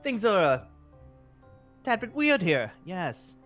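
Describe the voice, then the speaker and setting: A man's voice: high-pitched, very animated, slow pace, a bit bright, full character voice. A man playing an eager, apologetic fantasy guild leader in improvised roleplay, talking in a hesitant, rambling way. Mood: apologetic, earnest. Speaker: roleplay performer. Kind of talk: improvised Minecraft roleplay dialogue. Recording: music under the voice.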